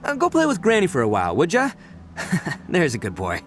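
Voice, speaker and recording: A loud, brash gang leader. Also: male voice, fairly quick, faint music behind. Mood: earnest. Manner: loud, excitable, big swings in pitch. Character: brash, boastful young man, gang leader. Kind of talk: video game cutscene dub.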